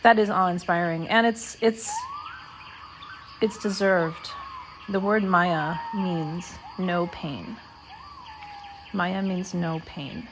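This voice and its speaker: A woman leading a guided meditation talk in a measured, deliberate way, speaking in short phrases with pauses. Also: fairly low pitch, noisy background.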